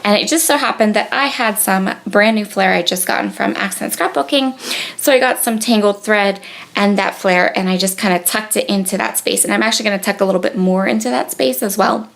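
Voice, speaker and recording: A woman's voice: mid-range voice, fairly quick, gravelly, fairly bright tone. A young woman with a cold, chatting casually through a crafting voice-over, full of ums and restarts. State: sniffly with a cold. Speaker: scrapbooking video creator. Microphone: tablet on a gooseneck.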